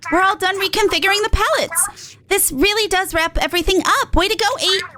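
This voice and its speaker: A woman voicing a brash, peppy young pop idol drone in a video game, slangy and excitable. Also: very high-pitched, brisk, voice pushed up. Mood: upbeat.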